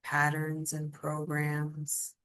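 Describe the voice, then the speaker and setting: Very slow, low-pitched woman guiding meditation. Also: monotone, poor audio. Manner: soothing, gentle, invitational. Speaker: guided meditation leader. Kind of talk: guided meditation.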